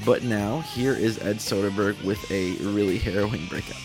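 A man's voice: fairly low pitch, normal speed, music in the background, low-quality recording. A man hosting a podcast, reading an introduction and announcements. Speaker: podcast host. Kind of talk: scripted-sounding podcast introduction.

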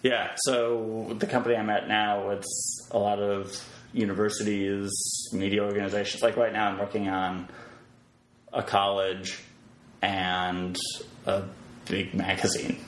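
A man talking casually as a podcast guest, explaining his web development work in measured, halting phrases. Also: fairly low pitch, little pitch movement. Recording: roomy echo, faint background noise.

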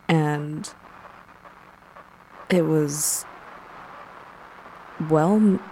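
Woman acting a blunt horror-loving storyteller, deadpan, very slow, creaky voice, audio drama. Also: deep voice, slight background noise, heavily acted, low-quality recording. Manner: deadpan, halting. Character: blunt, deadpan woman fond of spooky things.

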